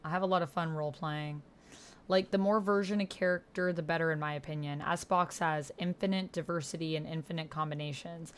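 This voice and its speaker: A woman with a low-pitched voice hosts a casual livestream. She talks in an effusive, chatty way, reading and answering chat messages as she goes. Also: fairly even intonation, fairly quick, clean tone. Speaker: livestream host playing a text roleplay game.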